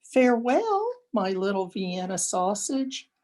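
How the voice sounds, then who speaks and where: A woman's voice: mid-range voice, sing-song, slow, a little mellow. A woman voicing a doting, gushing mother dog from a storybook, calling sweetly in a singsong way. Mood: doting farewell.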